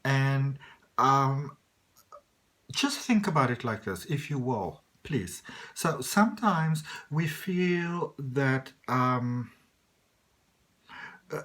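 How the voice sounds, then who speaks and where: Earnest spiritual coach in their thirties. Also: medium pitch. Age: 30s. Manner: earnest and reassuring, with frequent "you know" and "okay". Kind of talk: motivational talk to camera.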